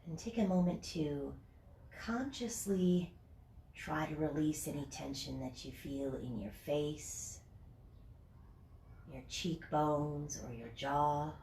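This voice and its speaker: A woman with a stuffed-up summer cold teaching a yoga class at home, chatty at first and then giving slow, soothing cues. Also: low voice, gravelly, a little mellow, far from the mic, slight background noise. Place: home, near a porch patio set. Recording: echoey room from off the mic.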